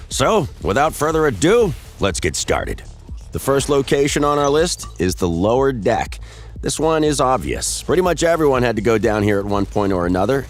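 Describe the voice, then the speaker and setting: A man giving scripted voice-over narration of game strategy tips in a polished, upbeat delivery. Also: lowish voice.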